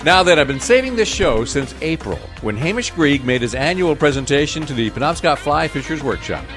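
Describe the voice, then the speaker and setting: Lively radio host introducing a segment. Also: male voice, fairly high pitch, fairly quick, music in the background.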